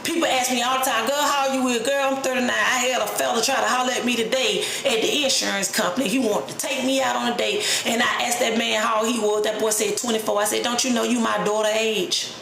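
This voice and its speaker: A woman's voice: fairly high pitch, steady tone, fast talker, vocal fry, few pauses, fairly bright tone, echoey room, a little hiss or hum. A woman in her late thirties giving a blunt, profane, streetwise talk straight to the camera, preaching about people who play games. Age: 30s. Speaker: vlogger. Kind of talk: unscripted personal rant.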